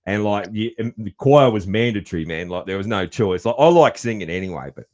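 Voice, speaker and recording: A man hosting a podcast interview, laid-back and loud-voiced, laughing easily and calling people "man". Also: on the low side, lively intonation, a little fast. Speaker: podcast host. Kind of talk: casual interview chat.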